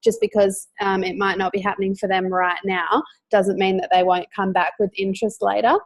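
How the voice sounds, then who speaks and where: Woman participant, monotone, hesitant with fillers. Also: mid-range voice, fairly quick. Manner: flat, full of fillers. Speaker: call participant. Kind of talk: sharing advice. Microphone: video call.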